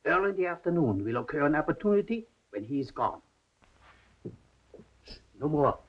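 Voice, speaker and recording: An actor playing a deferential servant-spy, speaking formally and furtively in a 1930s film. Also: male voice, on the high side, very animated, normal speed. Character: furtive, deferential male servant spying on his master. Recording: muffled 1930s film soundtrack.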